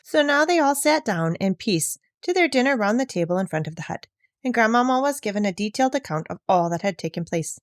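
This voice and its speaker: A woman's voice: on the high side, lively intonation, fairly quick, no echo. Woman reading a storybook, dry sound. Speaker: narrator, reader. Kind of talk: scripted storybook read-aloud.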